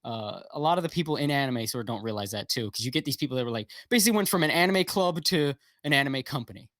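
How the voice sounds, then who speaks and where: A man's voice: medium pitch, animated, fast, a bit bright, dry recording, studio quality. A man talking as a guest in a casual livestream chat, rambling with lots of fillers and restarts, blunt and swearing freely, heard over a video call. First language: English.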